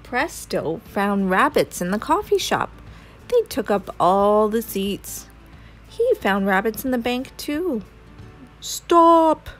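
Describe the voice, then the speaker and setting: A woman reading a children's story aloud as an expressive storyteller. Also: mid-range voice, slow pace, faint music behind.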